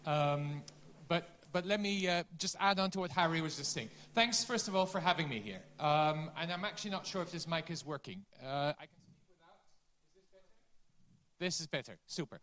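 A man with a Dutch accent, a business school dean giving a lively conference talk and quizzing the audience. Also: fairly high pitch, a little fast. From Netherlands. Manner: lively, quizzes the audience, self-deprecating asides.

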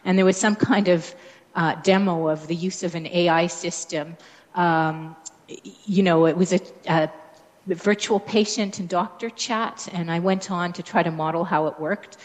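Canadian lady on a panel, lowish voice, lots of ums and restarts, big echoey room. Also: steady tone, normal speed. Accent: Canadian English. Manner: thoughtful, many fillers and restarts. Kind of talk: academic panel discussion. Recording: echoey room with long reverb.

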